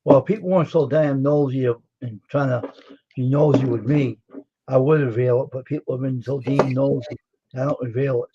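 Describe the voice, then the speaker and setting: A man's voice: medium pitch, fairly even intonation, a little fast, dark tone, muffled recording, a little hiss or hum. A man chatting casually on a live stream, guarded and repetitive, often giving short agreements. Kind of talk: casual chat.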